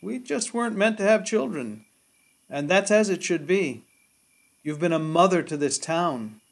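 A man playing a tender, steadfast small-town husband in a radio play, soothing and quietly curious. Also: high-pitched, expressive, unhurried, vocal fry, exaggerated voice. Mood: tender, wondering. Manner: gentle, soothing.

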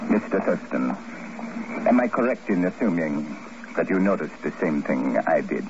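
An actor playing a suave, formal doctor in a 1950s radio mystery, speaking with deliberate care. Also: male voice, very deep voice, animated. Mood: smooth, knowing. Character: suave, measured adult male doctor in mystery. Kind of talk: 1950s radio drama acting. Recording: muffled, noisy old 1950s radio recording.

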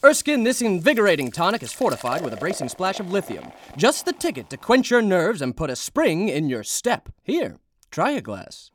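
An actor playing an earnest, peppy doctor pitching a tonic in an old-time radio advertisement. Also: male voice, huge pitch swings, moderate pace, a bit bright, a little hiss or hum, full character voice. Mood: earnest salesmanship. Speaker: doctor in sponsor ad.

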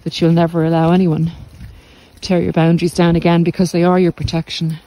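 A middle-aged woman giving reflective, informal podcast advice in a measured, deliberate way with pauses. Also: on the low side, level tone, warm tone, low-quality recording. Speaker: podcast host and narcissistic abuse recovery coach. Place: walk outdoors along the coast.

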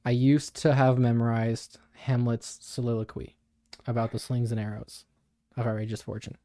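A young man co-hosting a podcast, explaining ideas in a thoughtful, measured, conversational way. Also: mid-range voice, flat delivery, mellow, dry recording, studio quality. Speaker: podcast co-host. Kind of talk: casual explanatory discussion.

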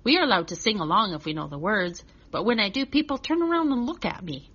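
A woman's voice: medium pitch, very animated, quick pace, a bit bright, slightly rough. Through slightly muffled audio comes a woman playing a restless little boy of six in a children's story. He chatters earnestly and expressively, in a childlike first-person telling. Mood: earnest, chatty.

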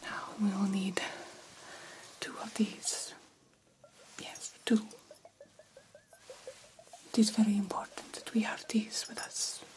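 A woman voicing a very old, self-assured vampire doctor in a soft, formal, reassuring ASMR whisper. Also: mid-range voice, level tone, takes it slowly, pauses often, lightly acted. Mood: businesslike, gentle. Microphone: close microphone.

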